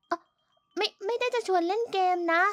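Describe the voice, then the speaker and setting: A woman's voice: very high-pitched, no room sound. A woman voicing a shy, cute young schoolgirl in an anime style, hesitant and earnest. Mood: flustered denial. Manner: hesitant, halting. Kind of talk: anime-style voice pack acting.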